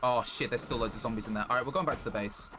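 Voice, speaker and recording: A man's voice: medium pitch, rapid-fire, noisy background. A man livestreaming a video game, casually talking through his plans to viewers and swearing now and then. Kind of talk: casual live game commentary.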